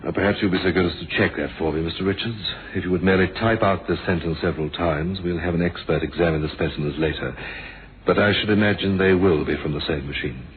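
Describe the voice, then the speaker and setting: A man acting a courteous, probing police inspector in a radio mystery drama, formal and measured, firm but humane. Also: sits low, lightly acted. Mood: pressing, businesslike. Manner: formal, measured, polite questioning. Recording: muffled, noisy old radio drama recording.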